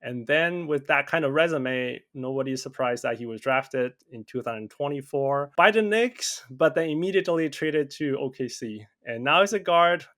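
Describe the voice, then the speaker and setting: A man's voice: medium pitch, animated, moderate pace, few pauses, fairly bright tone. A man hosting an interview podcast, upbeat and loose, with lots of fillers and restarts as he asks questions. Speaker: podcast host.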